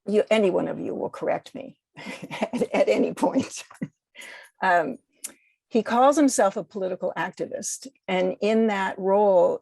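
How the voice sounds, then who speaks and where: A woman speaking as an interview guest, giving considered political commentary in a measured, carefully worded way. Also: fairly low pitch, gravelly, mellow, no echo. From United States.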